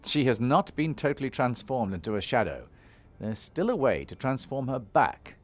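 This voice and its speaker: A steady heroic warrior, mid-pitched and expressive, recorded close and dry with soft music behind. He sounds earnest and firm, in fantasy game style. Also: no echo. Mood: calm, firm. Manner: measured, earnest. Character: calm, firm male heroic warrior and peacemaker.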